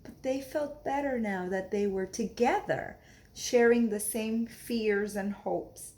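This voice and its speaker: A woman reading a children's story aloud like a teacher, expressive and deliberate, explaining things as she goes. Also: mid-range voice.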